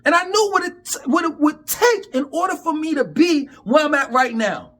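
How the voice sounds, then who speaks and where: A man's voice: very high-pitched, animated, normal speed, a bit bright. A man in his fifties giving an emphatic, profane, preachy rant to camera in street talk. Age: 50s. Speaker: vlogger.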